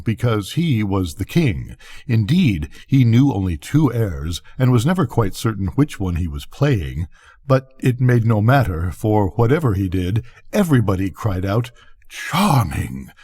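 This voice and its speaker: An older man narrates a children's story in a low register. His tone is very mellow and gentle, and his pitch moves about in a lively way as he reads. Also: husky. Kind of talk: scripted bedtime story narration.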